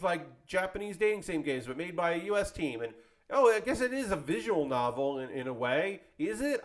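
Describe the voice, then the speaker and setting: A man hosting an anime video series in a casual, rambling, conversational style, self-deprecating and given to tangents and mild swearing. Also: high-pitched. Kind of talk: casual rambling vlog commentary.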